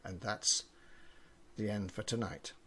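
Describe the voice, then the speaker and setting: An older man, a grandfather, reading children's poems aloud with an expressive storybook delivery. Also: fairly low pitch, slow pace, slight background noise.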